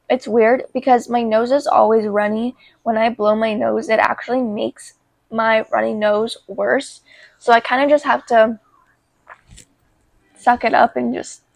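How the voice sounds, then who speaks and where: A young woman vlogging casually about her life, congested with a cold, talking in an informal, halting way. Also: fairly high pitch, gravelly, no room sound. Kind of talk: casual chat to camera.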